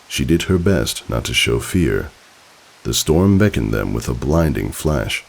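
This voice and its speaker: A man reading a story aloud as narrator, in an expressive, theatrical, dramatic style. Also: very deep voice, measured pace, gravelly, slightly rough, rough recording. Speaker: fan fiction narrator.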